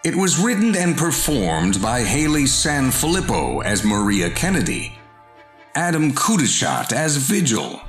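A man reading podcast credits in a measured, deliberate way. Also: medium pitch, a bit bright, roomy sound. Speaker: credits announcer.

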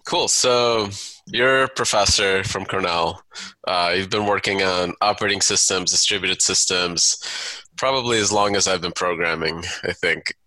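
A man's voice: deep voice, normal speed, sharp tone, no echo, low-quality recording. A man in his forties hosting a casual podcast interview, easygoing and full of likes and ums. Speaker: podcast host.

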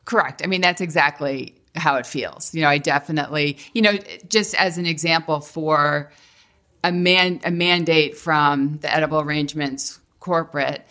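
A woman's voice: low voice, normal speed, bright, forceful tone. A middle-aged woman, a franchise owner, talking casually as a podcast guest with lots of fillers and restarts. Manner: hesitant, many fillers and restarts. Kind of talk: casual interview talk.

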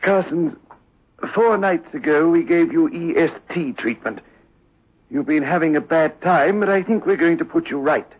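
A man playing a firm, probing sanatorium doctor in a 1960s radio drama, speaking with deliberate authority. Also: fairly high pitch, breathy edge. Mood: reassuring, insistent. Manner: stern, deliberate, commanding. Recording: muffled, lo-fi old radio recording.

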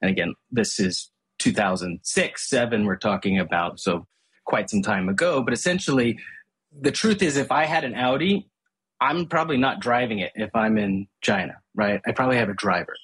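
Husky low-voiced man explaining. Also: moderate pace, fairly bright tone, poor audio. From Hong Kong. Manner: thoughtful, explanatory, with frequent restarts. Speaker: guest, brand strategist. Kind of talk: podcast interview conversation.

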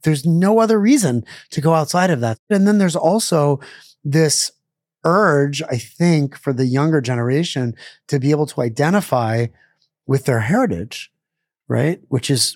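A man's voice: a little high, lively intonation, normal speed, no echo, clean studio sound. A middle-aged man, a chef, talking casually in an interview, rambling with lots of fillers and restarts. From born in Israel, raised in Pittsburgh. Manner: rambling, many fillers and self-corrections.

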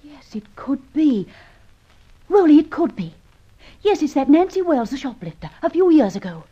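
A spirited lady sidekick in a vintage radio serial, kind and a little arch. Her high voice lilts widely, and the old recording is dull. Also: big pitch swings, moderate pace, warm tone. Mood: sympathetic. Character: spirited, kind-hearted lady companion to an adventurer.

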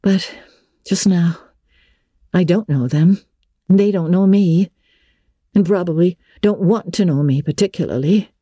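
A woman plays a dreamy, homesick teenage girl from a classic storybook. Her delivery is slow and dramatic, full of wistful exclamations. The voice is soft and mellow. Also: lowish voice, husky, dry recording, clean studio sound.

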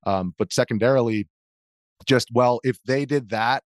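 A man's voice: mid-range voice, normal speed, dry recording, clean studio sound. A man with an American accent answering interview questions conversationally, explaining himself earnestly with hesitant phrasing. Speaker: interview guest, journalist.

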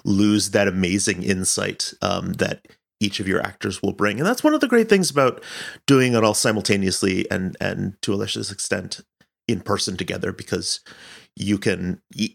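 A man who directs audio fiction, talking casually in an interview in a thoughtful, halting way as he searches for words. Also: fairly low pitch, creaky, slightly rough.

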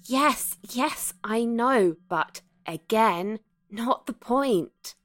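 A woman playing an eager, flustered, soft-hearted ghost in a conversational audio drama. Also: mid-range voice, no echo, studio quality. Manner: hesitant, conversational, easily sidetracked.

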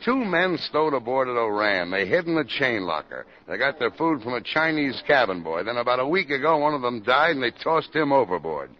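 An actor playing a brisk, dry-humoured police captain in a 1950s radio drama. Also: male voice, mid-range voice, sing-song, natural voice. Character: weary, wisecracking police captain. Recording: dull old radio broadcast recording.

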